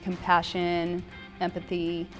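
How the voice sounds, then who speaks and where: A woman's voice: fairly low pitch, completely flat delivery, takes it slowly. A woman working as a police dispatcher, speaking sincerely in a documentary interview. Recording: music bed under the voice. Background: background music.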